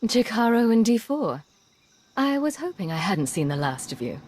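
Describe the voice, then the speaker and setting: An actress voicing a cool, tough undercover agent in a video game, terse and commanding. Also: female voice, on the high side, very animated, normal speed, heavy background noise. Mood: warm.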